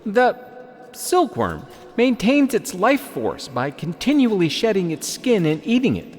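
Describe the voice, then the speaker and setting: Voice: medium pitch, huge pitch swings, measured pace, darkish tone, slight background noise. A performer playing a male travelling thread merchant in a comic audio drama, sly, nitpicking, hesitant and fussy. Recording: reverberant hall with music underneath.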